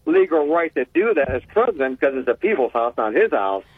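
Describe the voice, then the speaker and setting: An older man with a deep voice, calling in to a talk radio show and chatting in a blunt, rambling, folksy way. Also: big pitch swings, brisk, breathy edge, dry recording. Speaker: caller. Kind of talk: casual call-in chat. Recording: tinny phone line.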